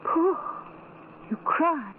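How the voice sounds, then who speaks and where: A muffled, faintly hissy old radio drama carries a tender blind woman in love. She speaks very slowly, in halting, earnest pleas full of devotion. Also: extremely high pitch, animated, full character voice. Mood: gentle concern. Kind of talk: old-time radio melodrama acting. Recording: muffled, noisy vintage radio recording.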